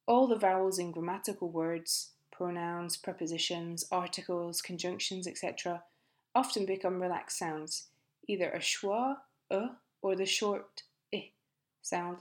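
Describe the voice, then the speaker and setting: A woman with a British accent talks through English listening clips at a careful, measured pace. Her delivery is clear and explanatory, like a scripted lesson. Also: lowish voice. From Britain. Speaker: English pronunciation teacher.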